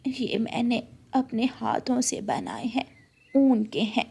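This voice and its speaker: A woman voicing a kind, doting grandmother bird in a cartoon, soft-spoken and reassuring. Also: fairly high pitch, expressive, takes it slowly, gravelly, breathy edge, exaggerated voice. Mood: tender.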